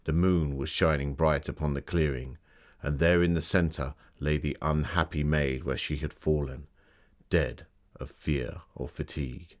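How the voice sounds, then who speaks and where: Victorian author, deep male voice, measured, formal, theatrical, exhibit narration of dark tales. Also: steady tone. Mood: grave, narrating. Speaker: voice actor. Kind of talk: dramatic scripted storytelling for an exhibit.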